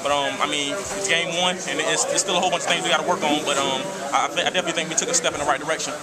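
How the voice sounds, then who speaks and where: A young college football player giving a postgame locker room interview, halting and full of fillers. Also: male voice, on the high side, steady tone. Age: young adult. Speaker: college football defensive back. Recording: loud crowd noise behind.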